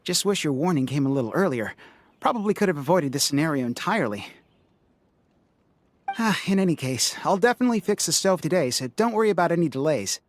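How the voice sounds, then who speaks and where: A woman's voice: low voice, animated, quick pace, pitched below the performer's own voice. A woman voicing a friendly, easygoing food stall vendor with polite patter in a fan dub of a video game. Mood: polite, relieved. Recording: amateur recording with music behind.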